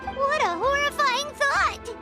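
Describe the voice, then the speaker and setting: A woman voicing a tiny, childlike, curious floating companion in a video game, squeaky and exclamatory. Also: expressive, takes it slowly, creaky, heavily acted. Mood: startled, concerned. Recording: studio voice over game music.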